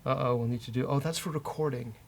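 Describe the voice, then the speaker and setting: A man's voice: mid-range voice, fairly even intonation, fast, vocal fry, a loud crowd. A man hosting a casual coding session, thinking aloud and muttering hesitantly as he works. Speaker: developer and show host. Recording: faint background noise.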